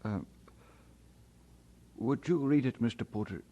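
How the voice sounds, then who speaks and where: An actor playing an earnest, devout young Scottish immigrant bound for seminary, halting when moved and firm about his faith, in a radio drama. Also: mid-range voice, mellow, highs cut off, close to an everyday voice. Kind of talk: mid-century radio drama acting.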